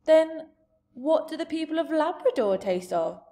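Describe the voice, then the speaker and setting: A woman's voice: high-pitched, animated, unhurried, warm tone, voice pushed up. A woman voicing a polite, wary young girl who asks questions in a storybook tale. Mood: puzzled. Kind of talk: storybook character voice.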